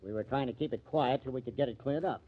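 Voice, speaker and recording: An actor playing an anxious, earnest press agent in a radio drama, hesitant and placating. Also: male voice, mid-range voice, fast, breathy edge, close to an everyday voice. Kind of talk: 1950s radio drama acting. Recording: dull vintage radio recording.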